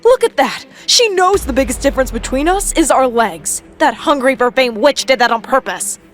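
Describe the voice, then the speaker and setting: An actress playing a vain, hot-tempered, scheming young model in an audio drama. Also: female voice, high-pitched, animated, moderate pace, soft background music. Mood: jealous, outraged.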